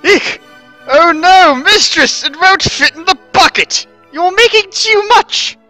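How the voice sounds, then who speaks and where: A man voicing a devoted, excitable female half-ghost servant who squeals in alarm, in a dramatic story reading. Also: extremely high pitch, slow, no echo, faint music behind, pitched above the performer's own voice, full character voice, low-quality recording. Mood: panicked.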